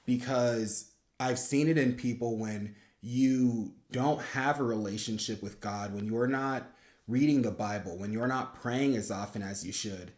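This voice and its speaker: A young man talks in a roomy, echoing space. His pitch sits in the middle of the male range. He speaks conversationally, explaining his thoughts on faith in an earnest, reflective way. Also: measured pace, darkish tone. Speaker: video host reviewing a song.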